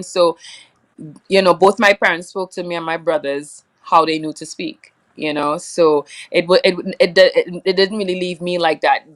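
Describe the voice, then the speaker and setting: Woman, trinidadian accent, lowish voice, rambling, lots of um and you know. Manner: rambling, many fillers, laughs often. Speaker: soca singer, guest. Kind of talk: casual interview chat.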